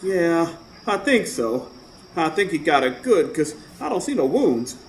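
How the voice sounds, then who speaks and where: Folksy, slow-talking neighbor in roomy sound. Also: male voice, a little high, very animated. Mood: concerned. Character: rustic, kindly neighbor man devoted to his old hound. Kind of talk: radio drama acting. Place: stage before a live studio audience. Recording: roomy live stage recording, background noise.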